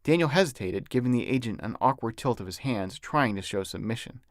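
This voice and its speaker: A man's voice: medium pitch, expressive, a little fast, no room sound, clean studio sound. A man, an author, reading his own novel as scripted audiobook narration.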